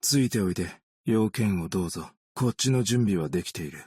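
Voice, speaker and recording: Deep, husky, world-weary game optician. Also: male voice, lively intonation, a little mellow, no echo, clean studio sound. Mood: composed, courteous. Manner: measured, understated, world-weary. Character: weary, gentle, long-lived male optician, quietly melancholic. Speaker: video game character voice actor. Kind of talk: scripted game character voice lines.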